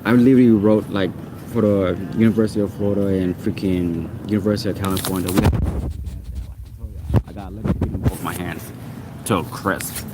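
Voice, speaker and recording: A man's voice: low voice, fairly even intonation, brisk, noisy background. A man whose first language is Portuguese, ranting casually and with exasperation in a rambling, repetitive way. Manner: exasperated, rambling, repeats himself.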